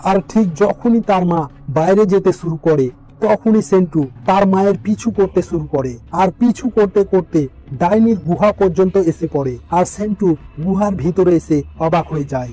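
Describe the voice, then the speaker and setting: A man's voice: high-pitched, moderate pace, dark tone, faint music behind, poor audio. A man narrating a scripted fairy tale as a storyteller.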